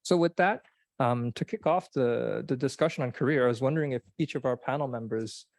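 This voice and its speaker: A man's voice: medium pitch, brisk, darkish tone, no echo. Hesitant young man moderating a panel. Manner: hesitant, restarting phrases, with ums. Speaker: seminar moderator. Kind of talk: introducing a panel discussion.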